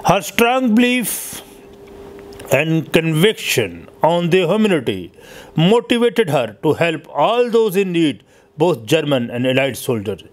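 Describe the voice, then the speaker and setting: A man reading a scripted history narration as host, in a halting, stumbling way. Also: fairly high pitch, big pitch swings.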